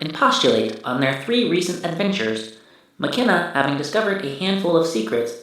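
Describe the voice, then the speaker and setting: A man's voice: fairly high pitch, fairly bright tone. Man voicing an echoing, formal machine memory archive. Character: male machine memory archive, formally recounting past adventures. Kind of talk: scripted recap narration. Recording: distant voice in heavy echo.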